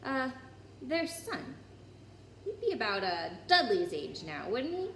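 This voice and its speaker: A woman's voice: high voice, big pitch swings, fairly bright tone, voice pushed up, heavily acted. Woman reading as a nervous, mumbling suburban husband. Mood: nervous, hesitant. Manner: hesitant, mumbling, halting. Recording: roomy echo, some background noise.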